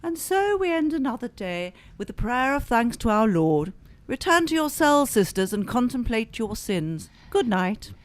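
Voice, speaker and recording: A stern elderly Mother Superior, played by a woman in a comic radio play. She speaks in a solemn, intoning way. The voice sits a little high. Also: very animated, moderate pace.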